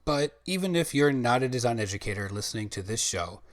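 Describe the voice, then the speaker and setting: A man's voice: medium pitch, animated, a little fast, no echo. A man hosting a podcast as a design educator, reading an upbeat scripted intro before chatting with his guest. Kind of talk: scripted intro then casual interview.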